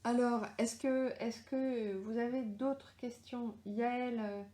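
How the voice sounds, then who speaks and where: A woman's voice: a little high, steady tone, takes it slowly, dark tone, echoey room. A middle-aged woman with a strong French accent, chatting casually with readers in a live session from her home, with many fillers and restarts. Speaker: author answering readers live. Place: home, lives in Australia.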